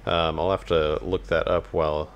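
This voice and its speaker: A man co-hosting a podcast in casual chat, talking in a hesitant, halting way. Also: a bass voice, little pitch movement, smooth. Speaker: podcast co-host.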